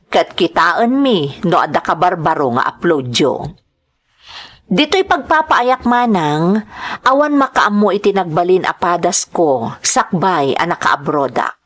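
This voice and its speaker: A woman's voice: on the low side, animated, a little slow, a bit bright. A woman with an Ilocano accent narrating a letter in a scripted style as host of a drama programme.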